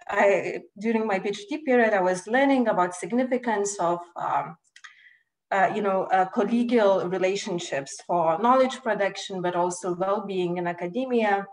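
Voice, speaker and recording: A woman's voice: medium pitch, low-quality recording. A woman researcher giving a webinar talk over a video call, hesitant, with frequent fillers and restarts. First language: not Danish. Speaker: postdoc researcher, webinar speaker. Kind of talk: academic talk.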